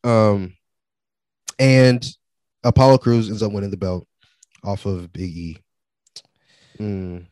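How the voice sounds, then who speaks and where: A man co-hosting a casual wrestling chat, opinionated and animated, dropping slang as he rants. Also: lowish voice, no room sound. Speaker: wrestling podcast co-host.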